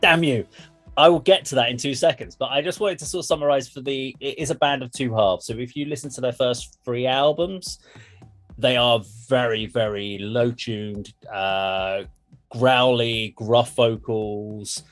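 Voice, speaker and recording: A man's voice: mid-range voice, a little slow, a bit bright. A man hosting a casual music discussion, explaining things in an informal, sweary way. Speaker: music reaction show host.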